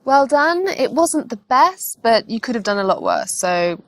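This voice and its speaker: A woman's voice: a little high, big pitch swings, a little slow, no room sound. A woman presenting as a frank, encouraging dating coach, commenting conversationally on a player's choices.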